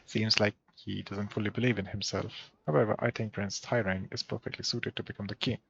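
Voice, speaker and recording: A man playing through a fantasy game, reading the dialogue aloud and adding casual remarks, with a gravelly voice. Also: lowish voice, fairly even intonation, a little fast, a bit bright, no echo, dull audio. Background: game music.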